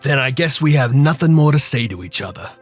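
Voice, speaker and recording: A man playing a measured, dryly formal and menacing crime boss in an old-time detective radio drama. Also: medium pitch, lively intonation, soft background music, natural voice. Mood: calculating.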